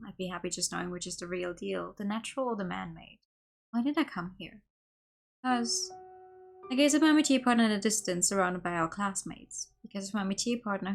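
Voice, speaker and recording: A woman streamer reading a visual novel aloud in an expressive way, with laughing asides. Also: medium pitch, vocal fry. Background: faint game music.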